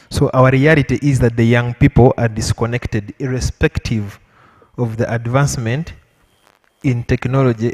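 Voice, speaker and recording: A man with a Ugandan accent giving an impromptu answer as a panelist, measured and emphatic with repetition, his voice a little weak from illness. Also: medium pitch, darkish tone. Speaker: panelist at a public lecture. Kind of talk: impromptu public speech to an audience. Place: lecture hall with an audience. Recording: echoey hall with long reverb.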